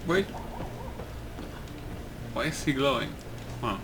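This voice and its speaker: A man talks through a video game at an unhurried pace. His commentary is casual and low-key, as if thinking aloud, with clear noise behind his voice. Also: lively intonation. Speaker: gamer, let's play host. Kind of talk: casual let's play commentary.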